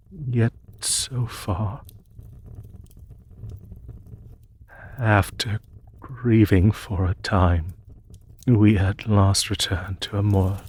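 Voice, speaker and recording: Guy doing a sad old-world demon emperor for audio roleplay, deep voice, really slow and measured. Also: a little mellow, breathy edge. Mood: grieving. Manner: slow, measured, sorrowful storytelling with long pauses. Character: faceless male demon emperor, solemn and wistful. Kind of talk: scripted audio roleplay monologue.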